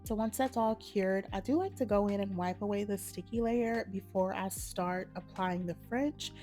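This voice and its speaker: Slightly hoarse woman, mellow tutorial voice. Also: medium pitch, moderate pace, faint music behind. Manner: relaxed, matter-of-fact instructions. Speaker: nail art channel host. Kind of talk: casual step-by-step tutorial narration.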